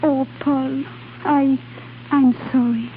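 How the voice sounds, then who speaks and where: An actress playing a passionate, volatile young foreign woman in a vintage radio drama, with halting, dramatic delivery and uneven foreign-sounding English. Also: high voice, level tone. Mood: distraught. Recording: old radio broadcast, tinny band-limited, hiss.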